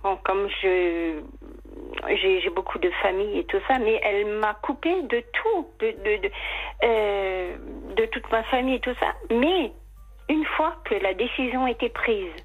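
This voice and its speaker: Halting woman caller on muffled phone line. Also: mid-range voice, breathy edge, faint music behind. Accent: slight English accent. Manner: hesitant and halting, many fillers and restarts, emotional. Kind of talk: personal confession on call-in radio. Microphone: telephone line.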